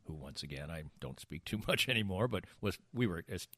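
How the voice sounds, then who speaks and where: A man's voice: sits low, animated, a little fast, pushed and bright, no echo, clean studio sound. A middle-aged man in casual banter, rambling and emphatic, often repeating himself as he goes. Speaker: radio show and podcast host.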